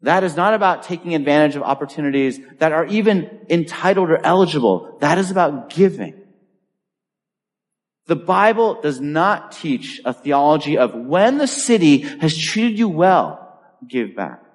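A man's voice: on the high side, sing-song, normal speed, big-room sound. A man pastor preaching a sermon, driving points home with emphatic repetition and telling a personal story. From Boston area, US. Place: church.

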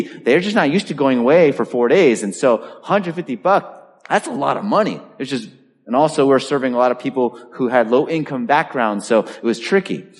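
A man's voice: mid-range voice, in a large hall. A man pastor preaching a sermon, driving points home with emphatic repetition and telling a personal story. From Boston area, US. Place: church.

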